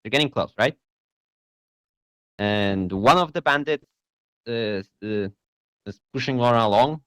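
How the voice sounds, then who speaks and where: A man's voice: medium pitch, a little slow, a bit bright, clear, resonant tone, no echo. A young man whose first language is Polish, running a tabletop game on a voice call, hesitant and self-deprecating, often checking in with "right?". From Poland. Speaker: game master. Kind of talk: casual online tabletop game chat.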